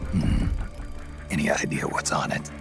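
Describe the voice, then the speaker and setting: An actor voicing a terse, guarded middle-aged male mercenary in a video game cutscene, with a gravelly, hushed delivery. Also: fairly even intonation, a little slow, full character voice. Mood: calm. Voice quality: gravelly, low whispery delivery. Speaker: game character. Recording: game cutscene with music behind.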